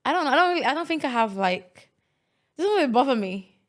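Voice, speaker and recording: A young woman with a fairly high voice, chatting on a laid-back podcast. She jokes around, restarts her sentences and lets them trail off. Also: big pitch swings, quick pace. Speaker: podcast co-host. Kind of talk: casual chat.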